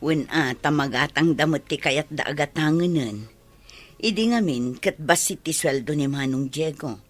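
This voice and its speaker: A woman's voice: deep voice, normal speed, soft background music. An actress with a Filipino accent playing an opinionated, gossipy village woman in a radio drama.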